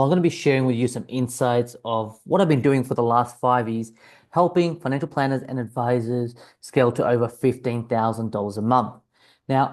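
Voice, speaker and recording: A man with an Australian accent, a business coach talking straight to camera in a conversational pitch that repeats key phrases and uses frequent fillers. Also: darkish tone. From South Africa, raised in Australia.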